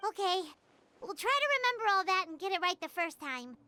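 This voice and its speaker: A woman voicing a tiny, excitable floating companion in a video game, speaking in a high, bouncy, eager way. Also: a little fast, exaggerated voice. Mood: chirpy.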